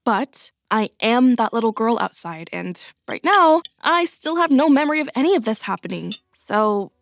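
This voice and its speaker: A woman's voice: a little high, big pitch swings, moderate pace, no echo, studio quality. A woman playing an earnest, reflective young woman in an audio drama. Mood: bewildered, reflective. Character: earnest young woman revisiting her childhood self.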